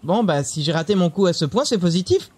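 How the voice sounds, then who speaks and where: An actor playing a wry office colleague who tells melodramatic tales in an audio comedy. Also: male voice, high voice, lively intonation, a little fast, a little mellow, slightly rough. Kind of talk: amateur audio comedy drama.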